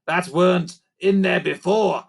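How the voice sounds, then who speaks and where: A man voicing Grunt, a grumpy male creaker creature who hisses and barks in broken grammar. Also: high voice, unhurried, pitched above the performer's own voice, full character voice. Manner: hissing, barking, broken grammar.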